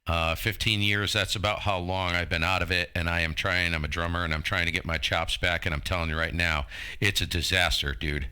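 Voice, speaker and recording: A man in his fifties with a very deep voice and a sharp, forceful edge. He talks casually as an amateur radio operator, animated and informal when he tells a story. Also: fairly quick, clear, resonant tone. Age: 50s.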